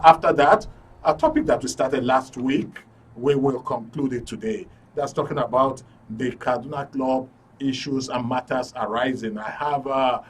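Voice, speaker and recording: A man with a Nigerian accent presenting a live current affairs talk show in an informal, jocular way. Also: mid-range voice. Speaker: host of a current affairs radio and TV programme. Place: broadcast studio. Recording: noisy studio, distant mic.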